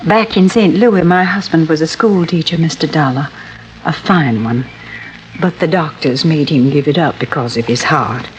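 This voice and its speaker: A woman's voice: deep voice, normal speed, close to an everyday voice. An actress playing a genteel, wistful middle-aged housewife and mother who speaks politely in an old-time radio drama. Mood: proud, precise. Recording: dull, faintly noisy vintage radio recording.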